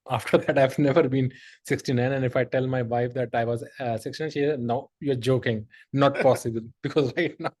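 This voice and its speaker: A man's voice: medium pitch, normal speed, a little mellow. A middle-aged man, hosting a podcast and telling stories in a rambling, filler-heavy way with lots of laughter. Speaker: podcast host. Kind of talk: casual conversational storytelling.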